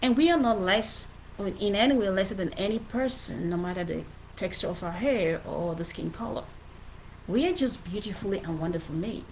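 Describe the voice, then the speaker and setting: A woman's voice: medium pitch, expressive, fairly quick, slight background noise, low-quality recording. A middle-aged woman with a Cameroonian accent gives an informal motivational livestream talk as an author, speaking earnestly and emphatically and often repeating phrases. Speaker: author presenting her book.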